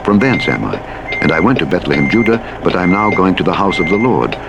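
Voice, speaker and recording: A man reading scripture as a formal, solemn and measured scripted narration. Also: low voice, sing-song, creaky, lo-fi sound. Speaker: audiobook Bible narrator. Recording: loud truck engine noise, played as radio. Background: truck simulator engine, vehicle sounds, reversing beeps.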